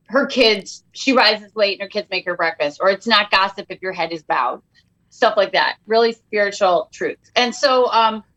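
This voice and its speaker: A woman's voice: medium pitch, a bit bright, highs cut off. A middle-aged woman comedian chatting as a podcast guest, telling self-mocking, jokey stories with lots of energy. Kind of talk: casual podcast chat.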